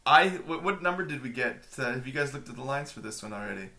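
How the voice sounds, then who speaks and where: Bright-voiced joking male host. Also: medium pitch, lively intonation, fast. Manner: joking, leads the talk with questions. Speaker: podcast host. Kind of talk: casual esports chat.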